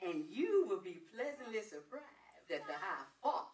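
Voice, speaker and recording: A woman's voice: medium pitch, very animated, unhurried, recorded from a distance, crowd noise. A woman on stage playing a sassy old American grandmother who advises in a folksy way, repeating her words. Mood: knowing, teasing. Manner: folksy, repeats words, calls people baby and sugar. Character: sassy old grandmother dispensing frank marriage advice. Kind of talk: comedic stage play acting. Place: indoor stage set in a hall. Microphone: distant camera. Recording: echoey stage, picked up from afar.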